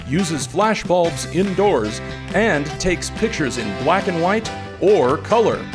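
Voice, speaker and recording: A man playing an eager sponsor's pitchman, reading an old-time radio commercial over guitar music.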